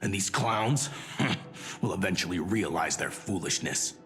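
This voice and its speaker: An actor playing a proud, haughty military officer in a fantasy video game, speaking in a formal, pompous way. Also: male voice, sits low, animated, measured pace, fairly bright tone. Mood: disdainful. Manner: formal, pompous, easily flustered. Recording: long reverb, music underneath.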